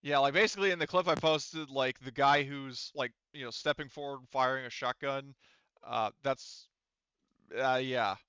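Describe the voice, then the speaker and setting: A man running a tabletop roleplaying game, narrating casually and haltingly, often breaking off and restarting. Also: mid-range voice, fairly even intonation, bright, forceful tone, clean tone, no room sound, highs cut off. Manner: halting, frequent self-interruption. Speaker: game master.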